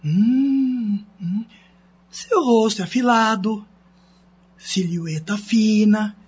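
Very husky, deliberate surgeon voiced by a woman. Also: mid-range voice, darkish tone, full character voice. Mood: calm, frank. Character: calm, honest, kindly plastic surgeon. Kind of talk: radio drama acting. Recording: dull lo-fi radio drama.

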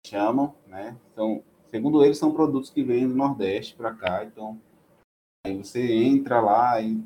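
A man's voice: medium pitch, dark tone, slight background noise. A man from Bahia with a Bahian accent, talking casually and restarting his sentences often. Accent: Bahian Portuguese. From Bahia, Brazil. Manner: hesitant, many restarts and fillers. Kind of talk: casual conversation.

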